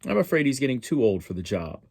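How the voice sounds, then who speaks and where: A man's voice: mid-range voice, big pitch swings, fast talker, creaky, a little mellow, slightly rough, dry recording, studio quality, pitched above the performer's own voice, full character voice. A man voicing a scolding, no-nonsense female groundhog aunt in a storybook. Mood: exasperated.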